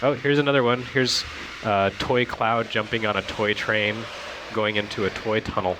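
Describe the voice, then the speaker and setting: A man's voice: lowish voice, fairly even intonation, normal speed, noisy background. A man giving casual, opinionated and wry commentary on video games. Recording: music behind the voice.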